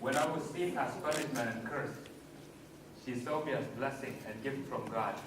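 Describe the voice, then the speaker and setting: A man with a South Sudanese accent telling a personal story as a speech, in deliberate short phrases. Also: mid-range voice. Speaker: conference speaker. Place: large echoey hall. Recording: very echoey hall, distant mic, noisy.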